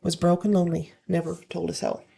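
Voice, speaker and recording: A woman hosts a casual story-reading session in a lowish, slightly hoarse voice. There is plenty of fry in it. She moves between reading aloud and offhand commentary in an informal way. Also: flat delivery, fast talker, warm tone. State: slightly hoarse, getting over illness. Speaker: host reading online stories aloud.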